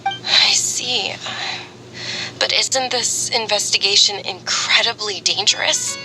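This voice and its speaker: A woman's voice: medium pitch, takes it slowly, natural voice, low-quality recording. An actress playing a caring, polite young noble lady with an earnest manner, in scripted game dialogue. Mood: caring, concerned. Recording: game audio with background music.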